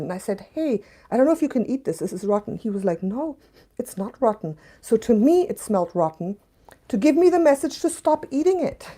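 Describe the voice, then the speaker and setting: A woman in her late forties with a mixed Canadian, German and Scottish accent, talking casually to viewers on a live stream and laughing often. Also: a little high. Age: 40s. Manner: chatty, laughs often, shifts between hushed and bright. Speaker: channel host reading viewer comments.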